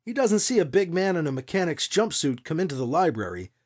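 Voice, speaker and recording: A man's voice: on the high side, sing-song, a little fast, darkish tone. A man reading fiction aloud as an audiobook narrator, in a dramatic scripted storytelling style.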